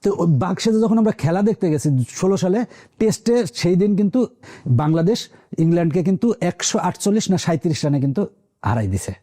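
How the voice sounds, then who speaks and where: A man with a Bangladeshi accent, a teacher being interviewed, telling his own story casually in a halting, repetitive way. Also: sits high, lively intonation, mellow.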